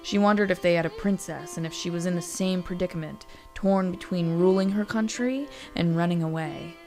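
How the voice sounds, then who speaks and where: A woman's voice: on the low side, fairly quick, dark tone, faint music behind. A woman storyteller reading scripted fantasy narration in an audiobook manner.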